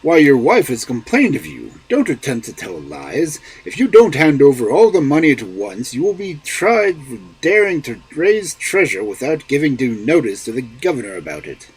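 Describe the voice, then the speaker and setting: A man voicing a stern, blustering official secretary in a folk tale reading, with a husky voice. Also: mid-range voice, wildly swooping pitch, normal speed, hardly any pauses, full character voice, rough recording. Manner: stern, demanding.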